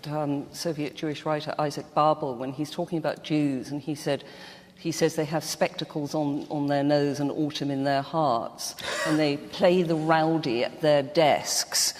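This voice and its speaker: Low-voiced woman in a reverberant hall. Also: steady tone, moderate pace, darkish tone. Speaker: panel guest, writer. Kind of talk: literary panel discussion.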